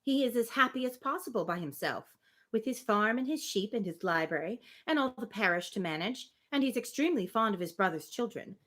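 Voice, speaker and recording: A woman's voice: mid-range voice, expressive, a little fast, a bit bright. A woman reading a spirited, opinionated young woman from a Regency novel, emphatic and formal. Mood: indignant.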